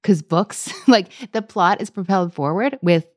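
Low voiced lady host, playful and laughing, super dry close sound. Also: lively intonation, moderate pace, no echo. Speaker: podcast host. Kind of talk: casual interview chat.

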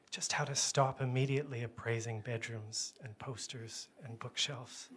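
Man reading a wry literary story aloud. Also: medium pitch. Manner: wry, self-deprecating, measured phrasing. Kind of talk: literary first-person story reading to an audience.

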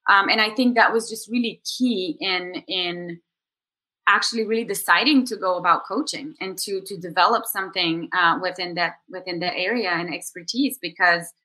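A woman's voice: on the low side, normal speed, pushed and bright, low-quality recording. A woman from Bulgaria in her thirties, a coach talking through her story as a podcast guest in a reflective way, with many fillers and restarts. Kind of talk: podcast interview conversation.

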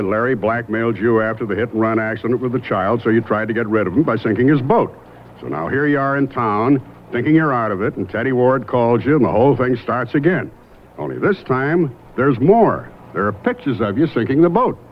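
An actor playing a young, shrewd investigator in a radio mystery, halting and deliberate as he narrates and probes. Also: mid-range voice, slight background noise, natural voice. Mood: probing, accusing. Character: young male investigator, shrewd, hesitant, narrating his case. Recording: muffled vintage radio recording, music behind.

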